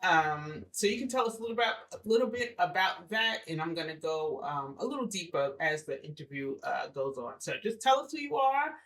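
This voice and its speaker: Bright-voiced chatty woman podcast host. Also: lowish voice, animated, brisk, few pauses. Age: middle-aged. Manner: chatty, laughs often, restarts sentences. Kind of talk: casual interview chat.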